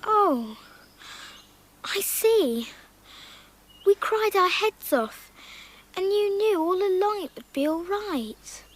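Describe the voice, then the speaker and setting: A woman's voice: very high-pitched, animated, slow pace, pauses often, heavily acted. A woman playing an earnest, tender-hearted young girl with open emotion in a radio drama. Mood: subdued. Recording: clear background noise.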